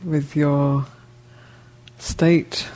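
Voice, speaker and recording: A woman teaching a guided meditation, speaking gently and unhurriedly in short phrases with long pauses between them. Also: low voice, flat delivery, rough recording.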